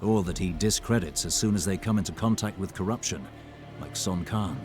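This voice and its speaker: A man playing a grave, analytical advisor in a fantasy game, measured and serious. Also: low-pitched, over music, close to an everyday voice. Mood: grave concern.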